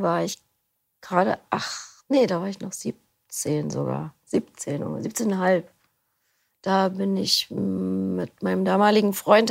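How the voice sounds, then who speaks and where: A middle-aged woman being interviewed about narrating an audiobook, talking in a relaxed, rambling way. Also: medium pitch, creaky. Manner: relaxed, rambling, laughs easily. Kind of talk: casual interview chat.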